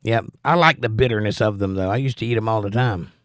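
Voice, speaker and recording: Middle-aged man with a softened Georgia accent. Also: fairly low pitch, fast, dry recording, studio quality. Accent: softened Southern US (Georgia). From Georgia, USA. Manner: relaxed and conversational, reads viewer comments aloud and answers them. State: strained voice. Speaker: livestream host reading chat. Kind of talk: casual livestream chat.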